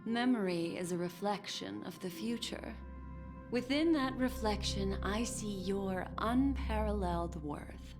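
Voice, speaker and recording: An enigmatic, seductive woman of a fantasy video game, played by an actress, speaks slowly and with poise. Her phrasing is coy and theatrical, lingering on words in a medium-pitched voice. Music plays behind her. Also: natural voice. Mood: solemn, admiring. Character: enigmatic, teasing, seductive woman who collects memories. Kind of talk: scripted video game character dialogue. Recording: clean voice over game music.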